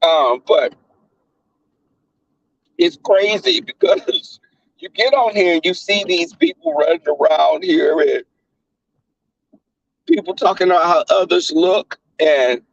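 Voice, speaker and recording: A man with a Southern accent hosting a live stream in casual chat, crass and flamboyant, often leaping into high squeals. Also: very animated, slow pace, vocal fry, long pauses. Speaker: livestream host. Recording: dull lo-fi stream audio.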